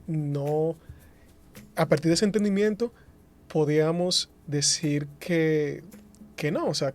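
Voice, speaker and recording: A Dominican man on a podcast panel, speaking deliberately and often restarting his phrases. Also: a little high, darkish tone. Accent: Dominican Spanish. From Dominican Republic. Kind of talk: casual discussion.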